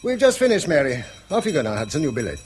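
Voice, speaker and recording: An actor playing a pedantic, mild-tempered schoolmaster and husband in mid-century British radio drama, speaking precise Received Pronunciation in a gently scolding way. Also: male voice, a little high, huge pitch swings, fast talker, faint music behind. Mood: donnish, chiding. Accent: English Received Pronunciation.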